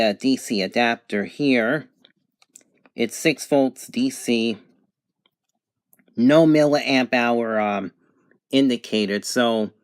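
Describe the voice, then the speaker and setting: A man giving an unscripted product demonstration in a halting, matter-of-fact way. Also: mid-range voice, no echo, clean studio sound. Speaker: product reviewer.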